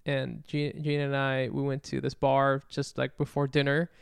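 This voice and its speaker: A man co-hosting a podcast, telling animated stories in casual chat with offhand swearing. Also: mid-range voice, normal speed, vocal fry, clear, resonant tone, no room sound, clean studio sound. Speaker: podcast co-host.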